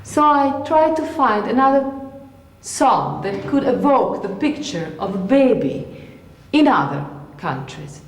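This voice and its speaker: A woman's voice: fairly high pitch, expressive, measured pace. A woman whose first language is Italian, an actress explaining her work in plain, slightly broken English with an Italian accent. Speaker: stage actress. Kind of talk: work demonstration lecture. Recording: echoey hall with faint noise.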